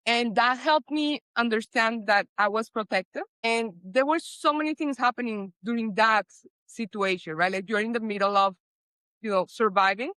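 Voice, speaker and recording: Colombian woman guest, hesitant and rambling, bright crisp voice, dry sound. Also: a little high, no room sound. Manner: rambling, hesitant, many fillers and restarts. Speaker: guest, business founder. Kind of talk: casual interview chat.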